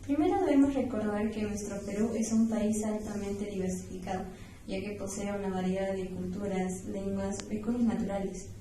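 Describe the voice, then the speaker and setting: A young woman with a southern Peruvian accent gives formal, recited answers as a podcast guest. Her voice is very mellow and rather level. The recording has heavy room echo. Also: medium pitch, fairly even intonation, normal speed. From San Román province, Puno, Peru. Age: young adult. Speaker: guest, university student. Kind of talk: prepared formal interview answers. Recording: echoey room, distant mic, faint noise.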